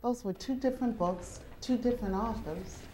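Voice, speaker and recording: A woman's voice: fairly high pitch, normal speed, warm tone, a little hiss or hum, poor audio. Emphatic woman hosting, teacherly. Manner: teacherly, coaxing with questions and repeats. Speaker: TV show host leading students. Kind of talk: talk to children before an audience. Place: college venue, taped live with audience.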